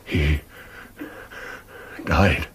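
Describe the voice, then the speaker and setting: An older man acting in a radio drama as a guilty, greedy, fearful dying father, speaking weakly and haltingly with laboured breath. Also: fairly bright tone, a little hiss or hum. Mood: grave, defensive. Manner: slow, halting, laboured delivery.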